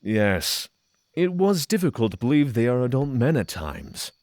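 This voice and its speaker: A man's voice: medium pitch, sing-song, darkish tone, no room sound, clean studio sound. A man playing an earnest, cautious, reflective spiritual pilgrim in a fantasy audio drama, formal and hesitant. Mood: reserved, earnest. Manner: formal, hesitant, thoughtful pauses.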